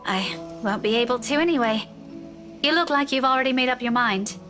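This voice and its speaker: An actress playing a resigned, frank young woman in a visual novel, speaking in a measured conversational way. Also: a little high, fairly bright tone, faint music behind, lightly acted. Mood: weary, resigned.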